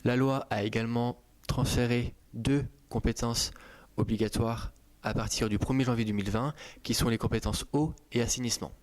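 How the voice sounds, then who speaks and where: A man's voice: medium pitch, fairly even intonation, moderate pace, darkish tone. A man delivering a formal procedural briefing, setting out points methodically in full administrative sentences. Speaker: government official.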